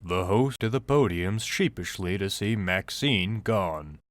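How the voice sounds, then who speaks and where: A man's voice: low-pitched, animated, a little slow, no room sound, studio quality. A man reading scripted narration for a comedy audio drama.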